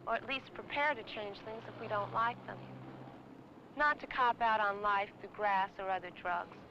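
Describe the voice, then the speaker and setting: A woman's voice: a little high, bright, forceful tone. A young woman giving an earnest statement of her opinions. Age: teens or young adult. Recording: dull old film, faint vehicle noise.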